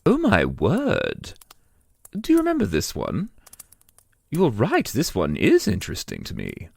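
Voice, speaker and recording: A sly otherworldly being speaks in a lowish, gravelly voice with wildly varied pitch. The delivery is theatrical and amused, savoring each word in a dark fantasy audio drama. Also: male voice, wildly swooping pitch, a little slow, no room sound, heavily acted. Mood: sinister amusement.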